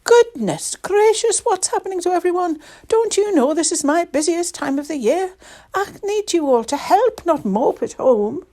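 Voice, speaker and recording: Woman acting a bustling Scottish storybook woman, blunt, kind-hearted, dramatic, raised lively voice. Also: sits high, measured pace, hardly any pauses, voice pushed up. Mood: alarmed, exclaiming. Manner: exclaiming, dramatic.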